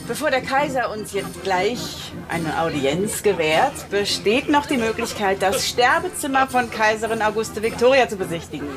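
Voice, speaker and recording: Eine Schauspielerin spielt in einem satirischen Hörspiel eine vornehme Gastgeberin, die förmlich Besucher begrüßt. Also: female voice, medium pitch, animated, moderate pace, few pauses, music in the background, a crowd in the background, natural voice. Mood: courteous. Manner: formal welcoming announcement. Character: genteel, unhurried court bazaar hostess. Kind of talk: satirical German radio play.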